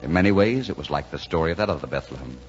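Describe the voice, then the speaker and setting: Brash working-class trucker, lively swooping pitch, folksy, warm-hearted, dull vintage radio sound. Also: male voice, racing. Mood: reflective, storytelling. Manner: colloquial, folksy, animated. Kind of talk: 1940s radio drama acting. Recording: dull vintage 1940s radio broadcast, music.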